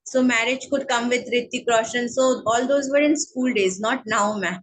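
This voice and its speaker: A woman with a Bengali accent speaking English as a guest in an interview, slow and hesitant with many fillers. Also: fairly high pitch, fairly even intonation, slight background noise, poor audio. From India. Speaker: guest, counselling psychologist. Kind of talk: online interview, casual chat.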